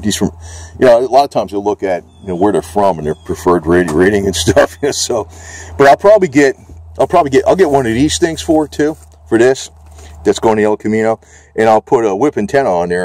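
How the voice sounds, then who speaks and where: A man's voice: on the low side, a little mellow, no room sound. An American man talking casually and off the cuff as he reviews a product, rambling and plain-spoken with some mild swearing. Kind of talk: casual unscripted product chat.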